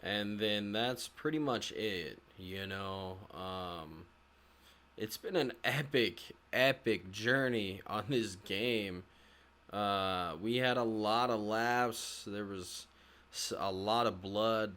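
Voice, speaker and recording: A man with a fairly low voice talks very slowly as a gaming host. His commentary is casual and halting, with drawn-out phrases and loose, sweary phrasing aimed at his viewers. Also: a bit bright. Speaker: host of a gaming walkthrough channel.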